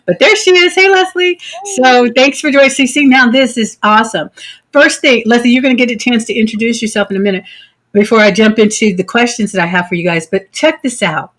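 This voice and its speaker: A woman's voice: a little high, fairly quick, fairly bright tone. Woman host, from california, bubbly and chatty, laughs easily, friendly video call. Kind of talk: casual video-call chat.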